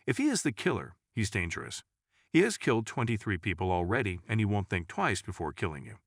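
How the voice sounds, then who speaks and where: A man's voice: fairly low pitch, big pitch swings, fairly quick, dry recording. A male narrator plays a nervous woman in a crime story. She is anxious, and her intonation swings animatedly. The sound is dry and close. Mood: wary.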